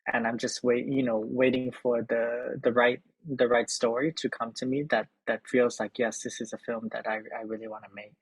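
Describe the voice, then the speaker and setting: Man from Thailand, middle-aged, interview guest, halting speech, dry close recording. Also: medium pitch, little pitch movement, slightly rough, no echo, poor audio. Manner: halting, many repeated words and fillers. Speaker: film editor and director, interview guest. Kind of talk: thoughtful interview answers.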